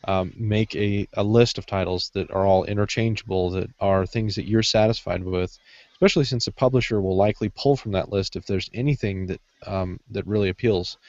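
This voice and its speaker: A man chatting casually on a podcast panel, halting often and restarting as he searches for words. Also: lowish voice, darkish tone, no room sound, muffled recording. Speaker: podcast panelist discussing writing craft. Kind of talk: casual unscripted chat.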